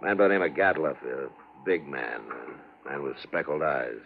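An American actor playing a steady, laconic Old West marshal in 1950s radio western style. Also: male voice, extremely low pitch, moderate pace, vocal fry, a little hiss or hum, heavily acted. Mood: measured. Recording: tinny 1950s radio recording.

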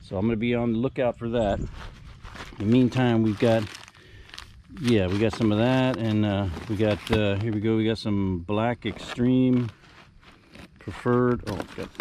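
A man's voice: lowish voice, background noise. A man, chatting casually and rambling with jokey asides as he sorts through storage unit finds outdoors on a rural lawn, filmed on a body-worn camera. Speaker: storage unit reseller vlogger. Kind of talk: casual walkthrough commentary.

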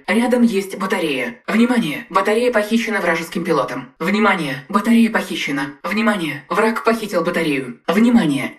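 A woman voicing a dutiful female combat mech AI in a game, giving crisp, measured status reports. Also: medium pitch, lightly acted. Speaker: titan AI voice. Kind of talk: game voice lines, Russian dub.

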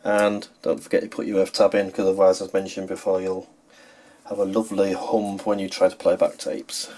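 Low-voiced man giving step-by-step instructions. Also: monotone, brisk, darkish tone. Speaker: repair tutorial host. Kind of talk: hands-on how-to narration.

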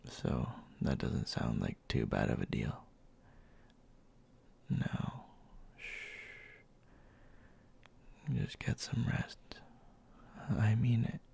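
A man playing a bossy but tender young boyfriend in a soft, intimate ASMR roleplay, speaking in a halting, breathy murmur that often drops to a whisper. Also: low voice, wildly swooping pitch, slight background noise, heavily acted. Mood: tender, teasing. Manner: halting, murmured, scolding yet caring.

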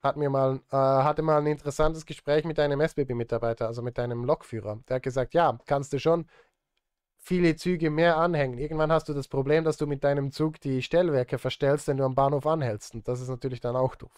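A man's voice: mid-range voice, fairly even intonation, a little fast, a little mellow, smooth, dry recording, clean studio sound. A man, chatting casually and conversationally as a livestreamer speaking straight to his viewers. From Switzerland. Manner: conversational, addresses chat directly. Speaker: livestreamer and film music composer. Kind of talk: casual livestream chat while working. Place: indoor home studio.

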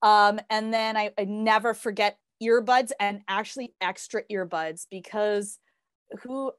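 A woman's voice: mid-range voice, clear, resonant tone, no room sound, studio quality. A woman co-hosting a podcast as a health coach, speaking in casual conversation.

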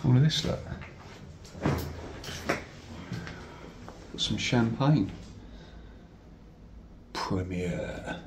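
A man, chatting casually while exploring a dark abandoned house. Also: mid-range voice, lively intonation, drawn-out words, pauses often, noisy background. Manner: chatty, easily impressed, matey. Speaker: urban explorer host.

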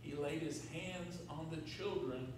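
A man's voice: fairly high pitch, measured pace. Older male American pastor, conversational and emphatic, recorded from afar in a sanctuary with faint noise. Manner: conversational, rhetorical questions with check-ins to congregation. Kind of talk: sermon. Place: large church sanctuary, at pulpit. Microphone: far camera in the room. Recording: very echoey church, distant mic, faint noise.

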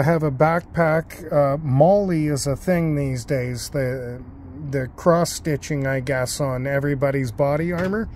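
A man giving an unscripted, matter-of-fact and halting demonstration as a hobbyist host, recorded outdoors on a handheld device. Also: fairly high pitch, a little mellow, slight background noise. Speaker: amateur radio hobbyist and video host. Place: outdoors in a backyard and deck.

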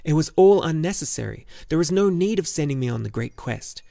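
A man's voice: mid-range voice, moderate pace, warm tone, pitched above the performer's own voice, lightly acted. A man voicing an earnest, bewildered young hero in a fantasy audiobook, speaking in an urgent, questioning, emphatic way. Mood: indignant. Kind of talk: fantasy audiobook character dialogue.

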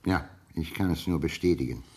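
A man's voice: a bass voice, a little fast, vocal fry, mellow, breathy edge, exaggerated voice. An older man playing a proud, principled father-in-law in a radio play, speaking in a dignified, formal and protesting manner. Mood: humbled, formal.

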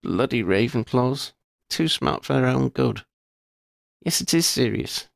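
A man's voice: medium pitch, animated, measured pace, gravelly, dry recording, clean studio sound, voice pushed up. A man reading aloud and voicing a frank, protective teenage schoolgirl who speaks earnestly and reassuringly. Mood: wry. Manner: raised put-on voice, earnest and reassuring.

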